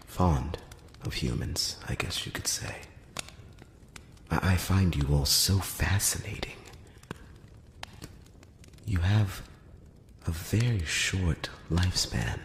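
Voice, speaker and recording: A man voicing an ancient, courtly male elf emissary in a soft, whispery, close-up ASMR roleplay, speaking with formal, slightly condescending courtesy. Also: roomy sound, big-room sound, noisy background, full character voice. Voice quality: very low, soft, often slipping into whisper. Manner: slow, hushed, measured, with formal courteous phrasing. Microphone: close-up microphone.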